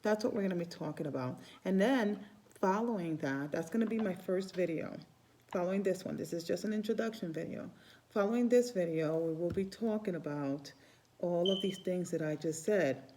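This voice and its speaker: Rambling woman with a mellow low voice. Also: moderate pace, slight background noise. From Cuba. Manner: warm, rambling, restarts phrases often. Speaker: Santeria priestess. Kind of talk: casual spiritual vlog talk.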